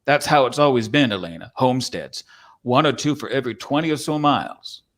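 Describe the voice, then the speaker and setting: A man's voice: medium pitch, lively intonation, a little fast, a bit bright. Proud, folksy old-time cowboy played by a man. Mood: folksy, curious. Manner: rustic drawl phrasing, boastful, teasing. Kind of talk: dramatic script reading.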